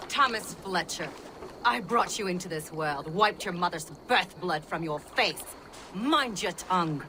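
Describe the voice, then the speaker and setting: Casting: mature healer, stern and motherly with dry wit, low voice, game dialogue over noisy ambience. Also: lively intonation, a little slow. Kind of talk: video game voice acting.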